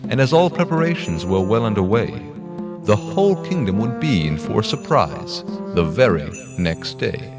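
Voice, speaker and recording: A man's voice: on the low side, sing-song, moderate pace. A man playing the narrator of a whimsical audio drama, in a storytelling manner. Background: music.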